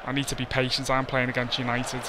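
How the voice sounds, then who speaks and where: A man's voice: mid-range voice, completely flat delivery, fast, heavy background noise. A man with a Scouse accent casually commentating over a football video game, chatty and self-deprecating. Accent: Scouse (Liverpool). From Liverpool, England. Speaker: gaming commentator. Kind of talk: casual let's play commentary.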